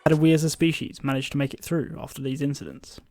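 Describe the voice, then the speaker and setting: A man's voice: fairly high pitch, flat delivery, quick pace, darkish tone, soft background music, close to an everyday voice. A man playing an inquisitive history podcast host from a post-apocalyptic future, in a scripted interview style. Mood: curious.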